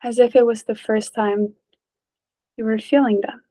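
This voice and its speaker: A woman leading a guided meditation in a gentle, calm, unhurried way, leaving long pauses between short phrases. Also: fairly high pitch. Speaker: meditation teacher.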